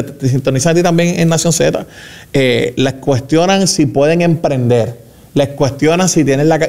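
A young man from Puerto Rico, speaking with a Puerto Rican accent as a government official answering interview questions in a measured way, with fillers and restarts. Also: on the high side, a little mellow. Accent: Puerto Rican Spanish. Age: young adult.

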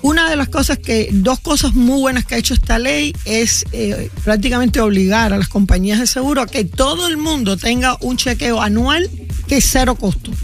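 A woman's voice: a little high, normal speed, soft background music. A woman with a Cuban accent, a guest in an interview, talking fluently and persuasively with frequent hesitation fillers. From Cuba. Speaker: guest, insurance agency founder. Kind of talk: promotional interview talk.

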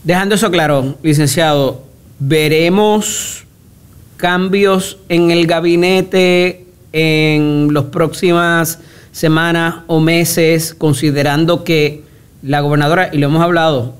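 Probing talk-show host, clear voice. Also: male voice, a little high, fairly even intonation. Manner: brisk, probing, cuts in with pointed questions. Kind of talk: radio interview.

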